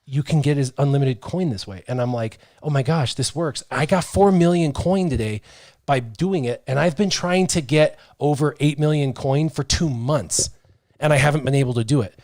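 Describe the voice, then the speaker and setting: An American man hosting a casual chat, with animated, joking banter. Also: fairly high pitch, no room sound, studio quality. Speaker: show host.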